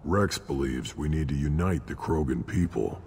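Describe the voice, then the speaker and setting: An actor playing a surly, blunt alien warrior in a video game, gruff and dismissive, with a deep gravelly voice. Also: male voice, moderate pace, mellow. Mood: scornful, gruff. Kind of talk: video game character acting. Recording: long reverb, clear background noise.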